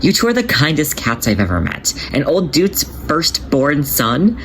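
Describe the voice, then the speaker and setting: A man's voice: a little high, huge pitch swings, a little slow, gravelly, a bit bright, slight background noise, full character voice. A man voicing an anxious young male cat in a storybook reading, stammering and hesitant. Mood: shaken.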